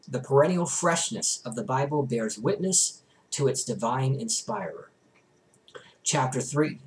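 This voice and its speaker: A man's voice: medium pitch, low-quality recording. A young adult man reading a book aloud expressively, with an emphatic, declamatory delivery. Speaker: reader, vlogger. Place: small bedroom, indoors.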